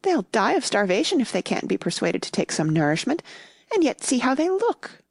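A woman's voice: fairly high pitch, big pitch swings, moderate pace, vocal fry, slightly rough, no echo, studio quality, pitched above the performer's own voice, heavily acted. A woman voicing a worried, fretful household nurse.

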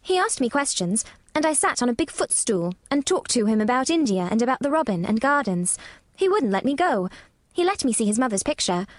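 Woman as an earnest little girl, high and lively. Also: fairly quick, no echo, clean studio sound. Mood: insistent. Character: earnest, self-assured little girl, firm and matter-of-fact. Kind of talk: audiobook character voice.

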